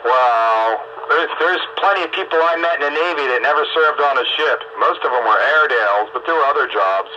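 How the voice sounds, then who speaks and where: A man's voice: mid-range voice, brisk, few pauses, sharp tone. An older man chatting casually over the radio in a matter-of-fact, explanatory way. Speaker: radio round table participant, Navy veteran. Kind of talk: casual group radio chat. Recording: muffled radio transmission with clear static.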